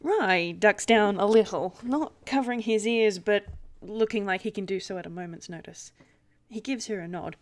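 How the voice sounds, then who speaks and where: A woman reads aloud what her character does in a tabletop roleplay. She has a middle-pitched voice and delivers it as third-person narration. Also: moderate pace. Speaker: roleplay player and reader.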